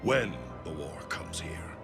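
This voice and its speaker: An actor playing an earnest, duty-bound older alien general in a video game, speaking formally and resolutely. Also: male voice, low voice, sing-song, slow pace, heavily acted. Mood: grim determination. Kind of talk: dramatic video game dialogue. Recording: music behind the voice.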